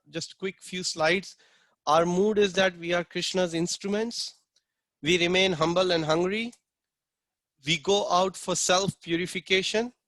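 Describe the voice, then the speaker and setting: A man's voice: high voice, a bit bright, no echo. A man teaching an online class, earnest and instructive, acting out short street dialogues as examples. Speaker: book distribution instructor.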